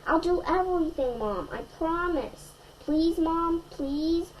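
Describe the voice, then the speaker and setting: A woman's voice: very high-pitched, expressive, takes it slowly, slight background noise, voice pushed up, exaggerated voice, low-quality recording. A woman voicing an eager, earnest little boy with a coaxing manner in a storybook. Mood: pleading. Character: eager, pleading little boy who loves dinosaurs.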